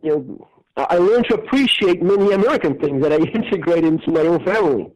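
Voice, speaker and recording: Man, halting and thoughtful, radio. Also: on the high side, animated, lo-fi sound. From Argentina. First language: Spanish. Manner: thoughtful, halting, many restarts and soft laughs. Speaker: guest. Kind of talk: casual interview conversation.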